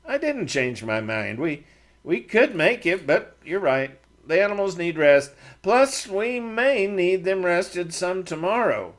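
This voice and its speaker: Fussy frontier sidekick, higher register, quick to defend himself; a male narrator voices him. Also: very animated, exaggerated voice. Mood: defensive. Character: fussy talkative frontier man, Brent's partner.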